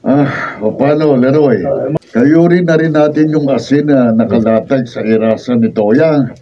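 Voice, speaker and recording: A man's voice: medium pitch, unhurried, far from the mic, lo-fi sound, natural voice. A Filipino man acting in a radio drama as an easygoing, considerate village salt worker. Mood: mild, concerned.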